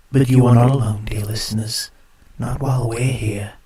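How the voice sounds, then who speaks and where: A man playing a sinister late-night spirit radio host with a hushed, whispery, theatrical way of talking. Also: medium pitch, fairly even intonation, husky. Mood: sinister welcome. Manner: theatrical, drawn-out, creepily welcoming. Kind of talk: horror radio drama.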